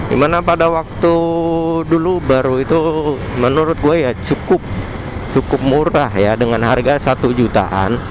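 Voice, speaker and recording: Clear road and vehicle noise surrounds a man talking into a helmet headset mic. His pitch is average for a man, and his Indonesian accent is colloquial Jakarta. He delivers a casual, slangy motovlog review as he rides. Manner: informal, slangy. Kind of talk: casual vlog review, talking while riding. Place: outdoors on a motorbike at night. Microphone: modified headset mic inside helmet. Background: traffic and vehicle noise.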